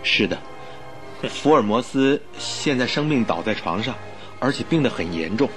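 A loyal, slightly anxious doctor in a radio play, acted by a man. He has a medium-pitched voice and speaks with humble, earnest courtesy. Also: animated, moderate pace, soft background music, natural voice. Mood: humble, pleading. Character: polite, loyal male doctor, anxious and deferential. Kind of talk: Mandarin radio drama acting.

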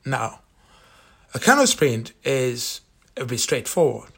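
A man from Cameroon with a Cameroonian accent, talking casually through a countdown list, animated and full of fillers and restarts. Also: medium pitch, takes it slowly, vocal fry, breathy edge. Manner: animated, repeats himself, many fillers. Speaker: vlogger.

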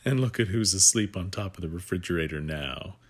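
A man reading a picture book aloud to young children, with gentle asides that guide the listener. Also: low-pitched, fairly quick, slightly rough.

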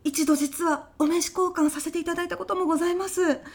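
A woman's voice: very high voice, fairly even intonation, quick pace. A woman playing a polite but easily exasperated veteran job interviewer in an audio comedy sketch, speaking formal business Japanese. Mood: flustered, fawning.

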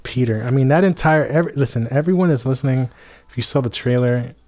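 A man's voice: mid-range voice, fast, mellow. A man co-hosting a casual movie podcast, laid-back and dry, with a teasing streak. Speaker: podcast co-host.

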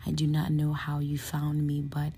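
Young woman podcast host, hoarse and husky, with a low pitch; relaxed, slangy solo chat. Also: normal speed. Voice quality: raspy, soft voice. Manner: relaxed and chatty, laughs often, slangy asides. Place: hotel room.

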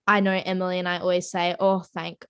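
A woman's voice: lowish voice, level tone, brisk, fairly bright tone, smooth. A young adult woman co-hosting a podcast, teasing and bubbly, using lots of "like". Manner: bubbly, teasing, heavy "like" fillers. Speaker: podcast co-host. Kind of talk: casual chat.

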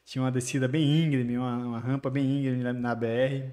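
A man, a guest on a podcast, telling stories in a relaxed way and often ending sentences with tag questions. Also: medium pitch, quick pace. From Bahia, Brazil. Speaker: guest, construction company chief. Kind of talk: casual podcast interview.

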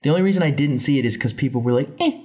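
Young man, casual podcast chat, rambling, jokey, quick. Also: mid-range voice, expressive, darkish tone. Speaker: podcast co-host.

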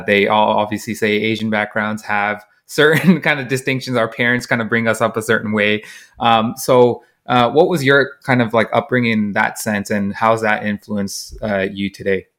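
A man with a fairly low voice hosts the podcast and asks his guests long, winding questions. He hedges a lot, using "kind of" and "you know" throughout. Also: fairly even intonation, moderate pace, fairly bright tone. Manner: long hedging questions with fillers. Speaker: podcast host. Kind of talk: interview questions.

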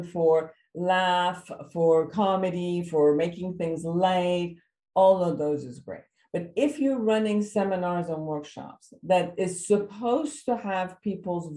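A woman's voice: lowish voice, warm tone, roomy sound. A woman originally from Iran, speaking as a psychotherapist guest on a podcast interview, explaining thoughtfully with many fillers and restarts. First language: Persian.

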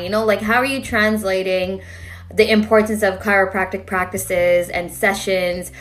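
A woman hosts a relaxed interview and asks loose, friendly questions. Her pitch sits in a middle range. Soft music plays faintly in the background. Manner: friendly, chatty questions with "like" and "you know". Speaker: interview host. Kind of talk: casual interview talk.

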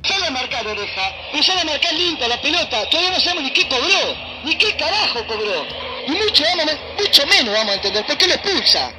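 Furious high-pitched Argentine commentator. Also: male voice, sing-song, fast talker, over loud music, rough recording. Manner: heated, exasperated, swearing. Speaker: football commentator. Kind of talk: live football commentary. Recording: broadcast clip with loud noise.

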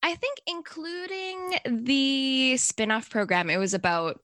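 A woman's voice: high-pitched, slow pace, fairly bright tone, smooth, no room sound, clean studio sound. A young woman from Canada answering interview questions in a chatty, upbeat way with plenty of likes and ums. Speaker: interview guest.

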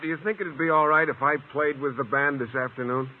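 A muffled old-time radio broadcast features an actor as a shy, innocent schoolteacher. His fairly high male voice is polite and earnest, with the hesitant delivery of 1950s sitcom acting. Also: steady tone, brisk, very noisy, close to an everyday voice. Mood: bashful.

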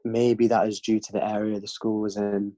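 A man's voice: fairly low pitch, flat delivery, moderate pace, warm tone, no room sound. A young man from London with an English accent, a podcast guest reflecting earnestly in casual conversation. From London, England. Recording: dull lo-fi dry recording.

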